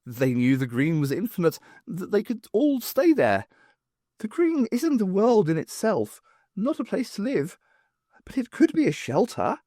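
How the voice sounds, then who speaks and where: Audiobook alien, ancient male rebel poet, last survivor, high lilting delivery, rambling and wistful, performed by a man. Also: wildly swooping pitch, measured pace, dark tone, dry recording, studio quality, full character voice. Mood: eager reminiscing.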